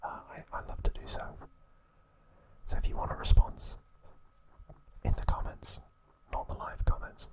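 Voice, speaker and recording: A man hosting an ASMR channel, whispering trivia softly and gently. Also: rough recording. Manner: soft unvoiced whisper, slow and gentle.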